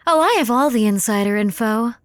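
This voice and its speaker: A woman's voice: fairly high pitch, animated, brisk, no echo, clean studio sound, lightly acted. A woman playing a scheming, sardonic young mother with a melodramatic streak in a comedy audio drama. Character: scheming gold-digging young mother, brash and sly.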